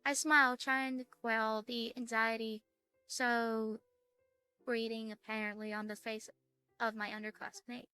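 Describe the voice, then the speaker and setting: A woman hosting a gaming video, chatting casually and reading game text aloud in a halting way that repeats words. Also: on the high side, flat delivery, sharp tone, smooth, no room sound, soft background music.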